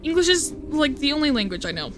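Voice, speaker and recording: A young woman with a slightly high voice chats loosely on a live stream. She rambles, swears freely and keeps breaking off her own sentences. Faint music plays behind her. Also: big pitch swings, quick pace. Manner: rambling, swearing, with self-interruptions and laughs. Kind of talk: casual live-stream chat.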